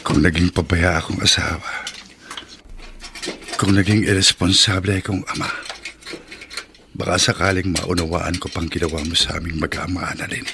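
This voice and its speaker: A man's voice: low voice, little pitch movement, normal speed, vocal fry, pauses often, background noise. An actor with a Filipino accent playing a bitter, proud abandoned husband and father in a melodramatic radio drama, intense and emphatic. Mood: bitter resolve.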